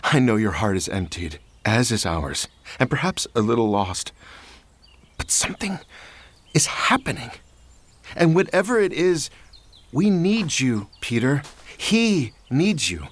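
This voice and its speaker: A man's voice: medium pitch, big pitch swings, a little slow, pauses often. An actor playing an earnest disciple in a biblical audio drama, urgent and heartfelt in his pleading.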